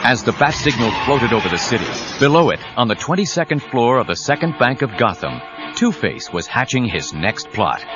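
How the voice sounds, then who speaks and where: An actor narrating a superhero audio drama as a suspenseful storyteller. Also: male voice, mid-range voice, big pitch swings, fairly quick, close to an everyday voice. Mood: dramatic, suspenseful. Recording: dull 1990s cassette, music under voice.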